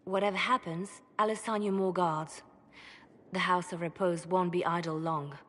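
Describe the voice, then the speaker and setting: An actress playing a pragmatic, ruthless spymaster in a video game, with a pointed, assured manner. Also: female voice, fairly low pitch, steady tone, moderate pace, fairly bright tone. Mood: cool, practical. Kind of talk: video game cutscene acting. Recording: long reverberant hall echo.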